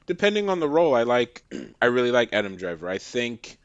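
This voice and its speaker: A man's voice: medium pitch, sing-song, brisk, clean tone, no room sound, muffled recording. A man from Massachusetts, a podcast guest, rambling with many restarts in casual chat.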